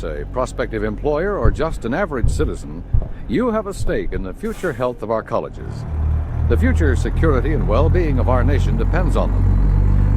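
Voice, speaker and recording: An American man announcing formally and earnestly from a script in 1950s radio style, with measured enunciation. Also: medium pitch. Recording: old radio broadcast under vehicle noise.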